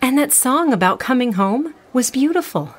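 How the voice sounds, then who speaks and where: A grown daughter in a comedy audio drama. Her voice sits high. She speaks naturally, supportive but frank. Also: expressive, moderate pace, breathy edge. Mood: warm, supportive.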